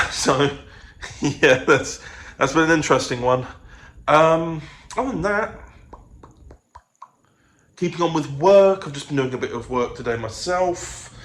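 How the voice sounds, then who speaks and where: A man's voice: a little high, animated, measured pace, pauses often, fairly bright tone. A man chatting casually to his vlog audience in a rambling, self-interrupting way. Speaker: vlogger and audio drama producer. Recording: noticeable background noise.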